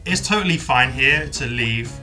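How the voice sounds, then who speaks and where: A man's voice: medium pitch, normal speed, faint music behind. A man from the south of England with a Southern English accent, telling stories in a casual panel chat. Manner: animated storytelling, rambling asides. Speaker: guest, videographer.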